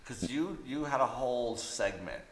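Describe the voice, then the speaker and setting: A man's voice: medium pitch, animated, moderate pace. A man being interviewed, casually recounting memories in a loose, hesitant storytelling manner. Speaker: interview guest, illustrator. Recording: roomy echo with background noise.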